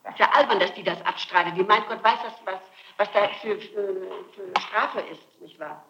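A woman's voice: mid-range voice, normal speed. Muffled old tape of a defensive woman. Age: middle-aged. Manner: insistent, defensive, breaking off and repeating phrases. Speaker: suspect being questioned. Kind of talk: recorded interrogation, answering questions. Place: indoor room, archival interrogation tape. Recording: muffled, noisy 1960s tape in an echoey room.

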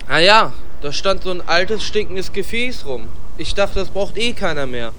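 A man's voice: high voice, expressive, normal speed, sharp tone, a little hiss or hum. A man playing a fearless, scheming, grumbling gnome warlock in a German fantasy parody audio drama, theatrical and irritable. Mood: sheepish, agitated.

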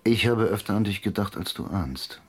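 A smug schemer in a radio drama. His low voice is husky and swings widely in pitch, teasing and provoking with self-satisfied irony. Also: lively intonation, moderate pace. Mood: subdued, weary. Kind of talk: naturalistic German radio drama acting.

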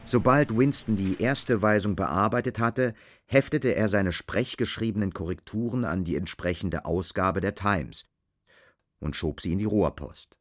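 A man's voice: low voice, measured pace. A man narrating a literary radio drama, telling a dystopian story in a sober, scripted manner. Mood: measured, sober.

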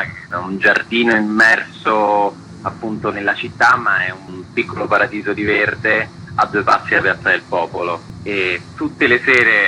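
A man's voice: on the low side, bright, forceful tone, slight background noise, poor audio. A man talking enthusiastically and conversationally in a radio interview as an event organiser. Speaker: festival organiser being interviewed.

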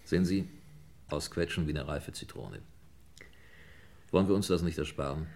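A man's voice: a bass voice, normal speed, creaky, a little mellow. A man playing a shrewd, impatient police inspector in a mid-century German radio crime drama, probing and commanding. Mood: probing, pressing.

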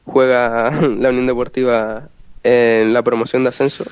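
A man's voice: medium pitch, level tone, normal speed, darkish tone, clean tone, dry recording, muffled recording. A man chatting casually as a remote guest on a radio discussion. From Canary Islands, Spain. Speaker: guest panelist. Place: remote connection to the show.

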